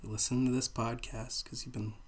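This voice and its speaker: A man co-hosting a podcast in casual chat, loose and profane with deadpan jokes. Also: medium pitch, fairly quick, breathy edge. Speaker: podcast co-host.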